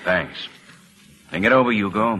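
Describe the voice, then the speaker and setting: A man's voice: fairly low pitch, expressive, moderate pace, sharp tone, slightly rough, full character voice. An actor playing a wry, folksy, fatherly government lawman in an old-time radio drama. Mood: dry, confident. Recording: old radio broadcast recording.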